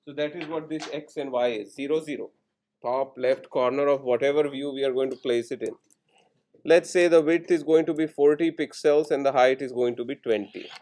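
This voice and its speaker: A man lecturing in programming, explaining each step in short instructional phrases with pauses between them. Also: mid-range voice, darkish tone. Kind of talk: step-by-step classroom coding tutorial.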